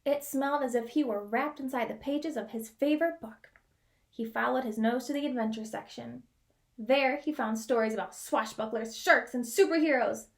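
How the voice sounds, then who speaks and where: A woman reading a picture book aloud as a storyteller, expressive, with dramatic pauses and drawn-out key words. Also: a little high. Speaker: children's read-aloud storyteller.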